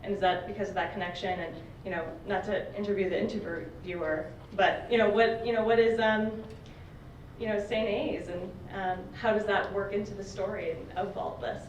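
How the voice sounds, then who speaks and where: A woman's voice: mid-range voice, steady tone, normal speed. Hesitant American woman in a roomy space. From New Hampshire, USA. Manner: hesitant, roundabout. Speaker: audience member asking a question. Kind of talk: informal audience question. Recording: roomy, distant mic, faint background noise.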